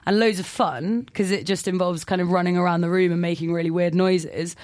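A woman's voice: fairly low pitch, fairly even intonation, brisk, a little mellow, no echo, clean studio sound. A young woman, a punk singer, talking casually in a radio interview with lots of "like" fillers. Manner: chatty, self-deprecating, many "like" fillers. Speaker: punk band singer, guest. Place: radio studio.

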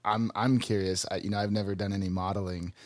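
A man's voice: on the low side, fairly even intonation, quick pace, a little mellow. A man hosting a podcast interview, upbeat and a little self-deprecating. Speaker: podcast host.

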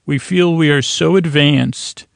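A man with a creaky voice hosting a sleep podcast, rambling gently and haltingly through a soothing, meandering bedtime monologue. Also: fairly high pitch, no room sound, clean studio sound. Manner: meandering, halting, repeats and trails off into tangents. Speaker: podcast host. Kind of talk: rambling bedtime monologue to help listeners fall asleep.

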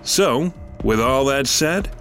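A man's voice: medium pitch, animated, slow pace, a bit bright, faint music behind. A man reading a scripted podcast promo and introduction as the host.

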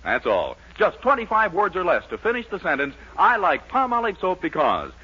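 A male announcer from 1940s radio delivers scripted copy as an emphatic pitch. His fairly high voice swings dramatically up and down. The recording is muffled and dated, with music behind him. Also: huge pitch swings, normal speed, sharp tone, breathy edge.

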